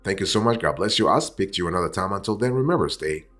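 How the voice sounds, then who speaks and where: A man giving an explanatory review as a host, deliberate in delivery, repeating phrases and checking in with the listener as he goes. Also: sits low, expressive, fairly bright tone. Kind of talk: explanatory software review, semi-scripted.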